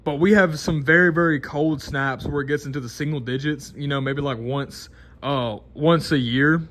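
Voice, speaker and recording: A man's voice: a little high, fairly even intonation, moderate pace, a bit bright. A twenty-year-old man, talking casually to camera in a rambling, unscripted way full of restarts and fillers. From Mississippi, American South. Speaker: vlogger.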